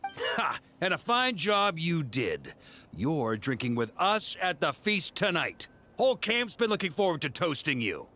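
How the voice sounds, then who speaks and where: A man's voice: fairly high pitch, sing-song, measured pace, bright, forceful tone, exaggerated voice. An actor playing a boisterous male knight commander who loves a drink, talking in a jovial, folksy way as in a video game. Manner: jovial, folksy, clipped storytelling.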